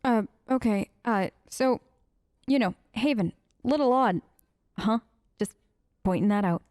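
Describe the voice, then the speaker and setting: A woman's voice: a little high, unhurried, darkish tone, no room sound. A young woman giving chatty, playful role-play commentary while playing a game, close on the mic. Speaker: let's play host.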